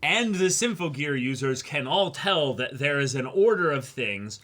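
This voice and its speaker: A man's voice: a little high, expressive, normal speed, fairly bright tone. A man co-hosting a reaction show, talking through his analysis casually, wordy and emphatic as he thinks aloud. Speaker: co-host of an anime reaction show.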